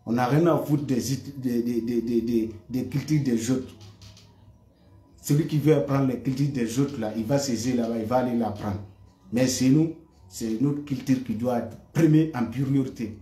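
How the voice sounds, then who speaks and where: A man's voice: mid-range voice, moderate pace, warm tone, echoey room, faint music behind. A man delivering an impassioned monologue to his viewers, heated and repetitive, often stammering on repeated words. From West Africa. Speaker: online commentator.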